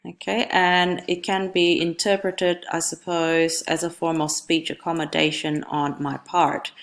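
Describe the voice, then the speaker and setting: A young woman whose first language is Indonesian presents her assignment in a deep, low register. She speaks carefully and in a measured way, pausing on ums and correcting herself now and then. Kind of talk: recorded class presentation.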